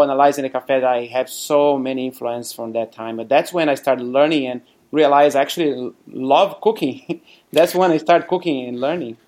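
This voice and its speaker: A man from Brazil with a Brazilian Portuguese accent, telling his story as a guest in a casual interview, chatty and quick to laugh. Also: mid-range voice, a little mellow. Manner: chatty, laughs often, many fillers. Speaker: guest, cafe owner.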